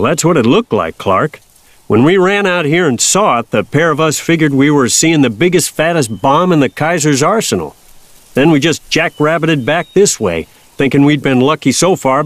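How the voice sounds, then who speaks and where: A man's voice: on the high side, dry recording, natural voice. An actor playing an older Kansas farmer father, plainspoken, folksy and patiently wry, in an audio drama.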